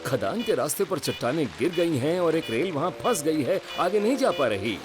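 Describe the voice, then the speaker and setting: Casting: railway dispatcher for kids' cartoon, raised pitch, hugely animated, worried authority, music behind. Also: male voice, normal speed. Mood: concerned. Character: fretful, responsible male railway dispatcher. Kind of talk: animated children's series dub.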